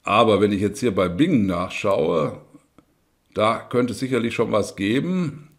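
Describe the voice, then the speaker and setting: German man explaining a spreadsheet, low voice. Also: animated, unhurried, gravelly. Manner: explanatory, frequent fillers and tag questions. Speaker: instructor. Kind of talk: screencast tutorial.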